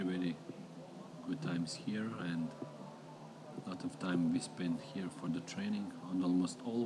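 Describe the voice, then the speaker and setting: A middle-aged man giving live race commentary in halting English, with many fillers and pauses. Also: low voice, noisy background.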